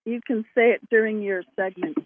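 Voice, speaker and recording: A woman's voice: mid-range voice, steady tone, a little fast, background noise. A woman chatting casually as a participant on a telephone conference call. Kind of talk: casual phone chat. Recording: narrow tinny telephone line.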